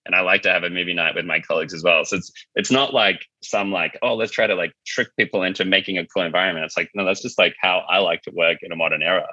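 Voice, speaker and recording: A low-pitched man speaks in a forceful, very bright voice. He chats informally as an interview guest, on a dry but slightly dull recording. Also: fairly even intonation, fast talker, few pauses, dry recording. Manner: informal, conversational, frequent "like" and "you know". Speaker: company founder being interviewed. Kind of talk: casual interview talk.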